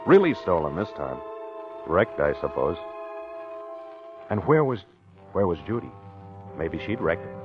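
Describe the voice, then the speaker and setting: Casting: haunted, groggy murderer husband, low halting voice, muffled old-radio sound with music behind. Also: male voice. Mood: foggy, uneasy. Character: dazed, obsessed husband confessing to murder. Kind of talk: 1940s radio acting, first-person narration. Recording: muffled vintage radio recording, organ music.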